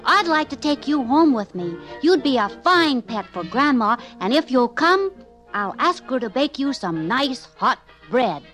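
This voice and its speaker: Woman playing small storybook boy, five years old, high voice, earnest, polite, lively swoops. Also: a little slow, soft background music, exaggerated voice. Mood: eager, hopeful. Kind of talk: children's storybook character voice.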